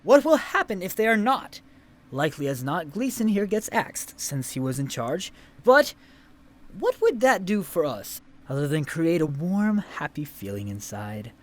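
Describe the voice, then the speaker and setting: Man acting a moody young guy, pompous, mock-archaic, animated pitch swings, comedy audio drama. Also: unhurried. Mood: rousing, grandiose. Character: moody young male food-service worker given to grandiose ranting.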